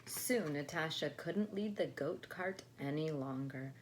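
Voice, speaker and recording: A woman reading a picture book aloud to children in an expressive storytelling style. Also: low-pitched, unhurried. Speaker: storybook reader. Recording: faint background noise.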